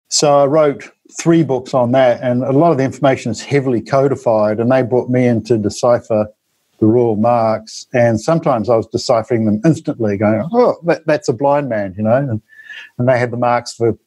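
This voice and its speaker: NZ-man in his fifties, interview guest, dark mellow tone, dry sound, rambling delivery. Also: medium pitch, no room sound, low-quality recording. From New Zealand. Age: 50s. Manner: rambling, many ums and tag questions. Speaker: guest author. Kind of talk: interview monologue.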